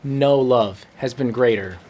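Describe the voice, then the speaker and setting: Guy reading a funny story super slow, dramatic noir narrator style, medium pitch. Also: mellow, rough recording. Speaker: comedy storyteller. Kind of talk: live reading of a comic story.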